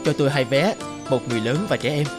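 A man voicing a patient, encouraging father in a cartoon, calm and instructive. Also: medium pitch, quick pace, music in the background, natural voice. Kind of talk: cartoon dubbing.

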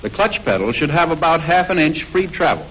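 A man with a fairly high-pitched American voice narrates a 1940s military training film. His delivery is formal and measured, like a scripted instruction. The old soundtrack is somewhat noisy. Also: sing-song, fairly bright tone.

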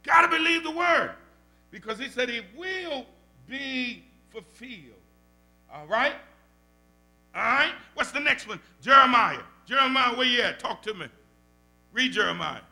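An older man with a Southern US accent, a pastor teaching Bible study in a church sanctuary, preaching into a stand mic with call-and-response and rising shouts. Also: very high-pitched, lively intonation, unhurried, creaky, long pauses, soft background music. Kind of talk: animated preaching. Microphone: stand mic at the pulpit.

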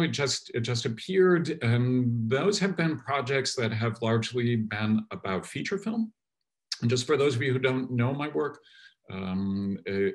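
Man in his 50s, guest lecturer, low voice, halting with fillers, video call. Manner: halting, with repeated words and fillers. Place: home study on a video call. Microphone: laptop mic on a video call.

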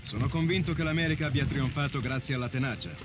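A man's voice: mid-range voice, quick pace, close to an everyday voice. A man playing a proud army colonel, solemnly narrating a formal report in a game cutscene. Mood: solemn pride. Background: music.

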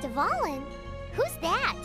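A woman voicing a tiny, cheeky floating fairy girl in a video game, with a squeaky, childlike voice and blunt manner. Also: huge pitch swings, takes it slowly, full character voice. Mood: puzzled. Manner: speaks of self in third person. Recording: game audio with music behind.

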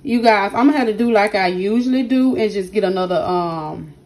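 A woman with a hoarse, partly lost voice, chatting casually to her viewers as she cooks in her home kitchen, recording on a handheld phone. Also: mid-range voice, a little fast, a little mellow. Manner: chatty, keeps addressing viewers directly. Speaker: cooking channel host. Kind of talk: casual cooking vlog narration. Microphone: phone held in hand while cooking.

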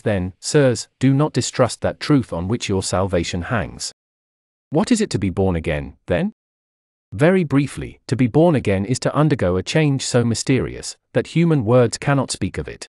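Animated deep-voiced man reading a sermon. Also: normal speed, no echo, clean studio sound. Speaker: narrator reading a historical sermon. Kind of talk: scripted sermon reading, oratorical.

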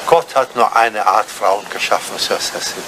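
An older man acting a devoted, dignified butler in a radio play, speaking with formal deference and quiet sorrow. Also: fairly low pitch, moderate pace, close to an everyday voice. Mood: politely formal. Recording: noisy old radio play recording.

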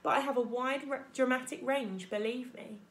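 Woman reading as a dramatic storybook opossum, brags about her acting, raised gravelly voice. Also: sits high, fairly even intonation, moderate pace, fairly bright tone, roomy sound. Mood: showy. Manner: dramatic, chatty, self-admiring. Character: theatrical, boastful female opossum.

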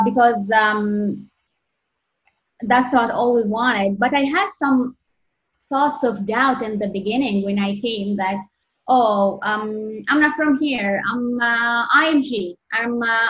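A woman in her thirties from Egypt with an Egyptian accent, answering interview questions as a medical resident, earnest and halting with many restarts. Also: fairly high pitch, fairly bright tone. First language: Arabic. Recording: dull lo-fi audio.

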